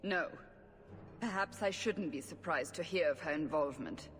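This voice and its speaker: A woman's voice: sits low, normal speed, long reverb tail, natural voice. An actress playing a suspicious female interrogator who speaks curtly and demandingly. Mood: stern. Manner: curt, demanding.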